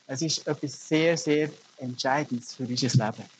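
A man's voice: medium pitch, normal speed, slight background noise, rough recording. A middle-aged man, preaching a sermon as a pastor and telling stories earnestly with emphatic rises. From Germany.

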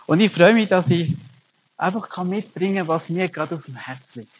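A man's voice: sits high, animated, a little fast, slightly rough, muffled recording. A middle-aged man, preaching a sermon as a pastor and telling stories earnestly with emphatic rises. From Germany.

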